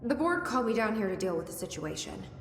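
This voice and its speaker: A woman's voice: mid-range voice, big pitch swings, fast, lightly acted. An actress playing a no-nonsense young woman director on a mission, dry and matter-of-fact, in a video game. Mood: businesslike. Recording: echoey hall, faint ambient noise.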